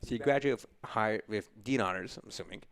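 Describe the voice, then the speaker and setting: A man's voice: fairly low pitch, creaky, a bit bright. Effusive male podcast host. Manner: effusive, laughs often, halting restarts. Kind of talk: casual interview.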